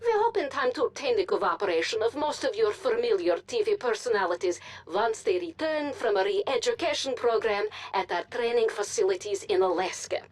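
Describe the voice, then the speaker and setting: An actress playing a composed, authoritative Soviet propaganda news anchor, reading formally in a video game broadcast. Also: female voice, mid-range voice, lively intonation, lightly acted. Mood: official, firm. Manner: formal, measured delivery.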